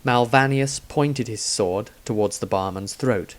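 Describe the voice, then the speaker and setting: A man's voice: mid-range voice, measured pace. A man reading scripted narration of a fantasy story as its narrator. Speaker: author narrator.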